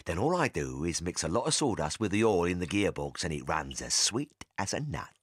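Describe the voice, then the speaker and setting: A man's voice: low-pitched, very animated, a little fast, breathy edge, no room sound, studio quality, full character voice. An actor playing a crooked, boastful, bullying middle-aged father with a colloquial English accent in a dramatised audiobook. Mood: smug boasting. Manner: blustering, scornful, colloquial.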